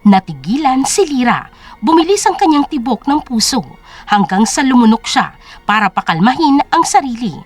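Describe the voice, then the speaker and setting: High, animated female story narrator. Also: normal speed. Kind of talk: scripted dramatic narration.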